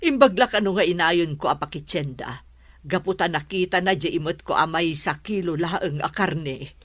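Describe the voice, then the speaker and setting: A woman with an Ilocano accent playing a meddling, sharp-tongued mother of a grown son in a drama. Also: lowish voice, moderate pace, dry recording, lightly acted. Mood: complaining. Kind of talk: Ilocano comedy drama voice acting.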